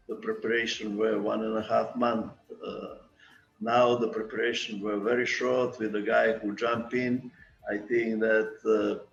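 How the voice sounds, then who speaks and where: Serbian-accented older coach with gravelly voice. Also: male voice, fairly low pitch, roomy sound, lo-fi sound. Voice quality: halting, filler-heavy non-native English, repeats "you know". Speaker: basketball coach. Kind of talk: video interview.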